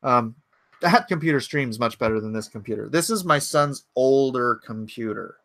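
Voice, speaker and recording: A man's voice: mid-range voice, animated, moderate pace, creaky, a bit bright, no echo. A man in his forties hosting a casual livestream from his home computer, rambling and thinking aloud as he answers chat comments. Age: 40s. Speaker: livestream host.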